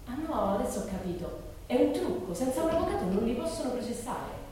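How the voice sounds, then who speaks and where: A woman's voice: fairly high pitch, sing-song, fast talker, dark tone. Echoey, distant young schoolgirl played by a woman. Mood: puzzled, intrigued. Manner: colloquial, incredulous, self-questioning. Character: curious, outspoken teenage schoolgirl researching a past crime. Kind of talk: dramatic monologue reading. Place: large reverberant room, distant mic. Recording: echoey hall from afar, noisy.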